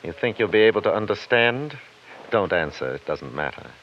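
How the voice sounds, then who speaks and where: A man's voice: on the low side, very animated, moderate pace. A man playing a smug, preening lawyer in a 1950s radio drama, with pompous, ornate and mocking phrasing. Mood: pained, defensive. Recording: muffled, slightly noisy old radio recording.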